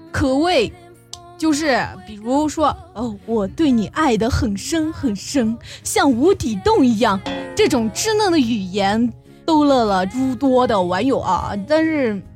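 Very high-voiced, giggly woman co-host. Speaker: radio show co-host. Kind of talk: casual comic anecdotes and banter. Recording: music bed under the talk.

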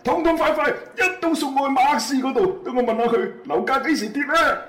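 A man's voice: very high-pitched, fairly quick, a bit bright. A man playing a fearless, sardonic bespectacled fellow who is cheerfully fed up with life, in a Cantonese comic radio drama. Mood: sardonic glee. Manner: sardonic, gleeful, swooping delivery. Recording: roomy, echoing voice track.

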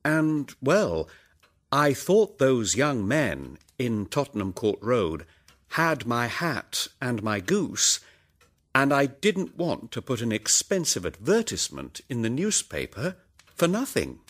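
A narrator doing a quiet, careful poor old gentleman. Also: mid-range voice, very animated, slow pace, exaggerated voice. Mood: apologetic. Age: older. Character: poor, intelligent grey-haired man, quiet and careful.

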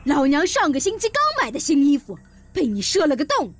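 Woman playing a high-voiced, imperious orc mother. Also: very animated, fairly quick. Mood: indignant. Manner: imperious, declamatory. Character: fiery, proud orc mother, warlock spellcaster. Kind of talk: theatrical fan audio drama acting.

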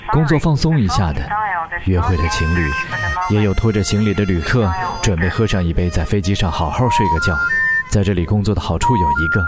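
A man playing a world-weary pop singer in an audio drama, speaking and narrating in a subdued, wistful, literary way. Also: deep voice. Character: world-weary male pop singer, former bar band frontman. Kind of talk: Chinese audio drama acting and reflective narration. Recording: studio voice over prominent background music. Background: music.

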